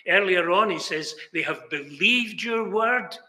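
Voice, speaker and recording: A man's voice: high voice, animated, measured pace, sharp tone. An older man preaching a sermon in a small chapel, earnest and emphatic. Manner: earnest, emphatic, gestures with both hands. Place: small chapel, indoors, banner behind. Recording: roomy chapel echo.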